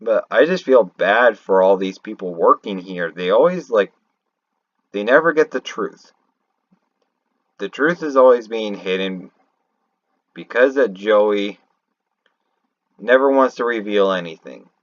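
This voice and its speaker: A man giving unscripted, rambling fan commentary on a video game, thinking aloud haltingly and often correcting himself. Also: on the low side, lively intonation. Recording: roomy, dull lo-fi home recording.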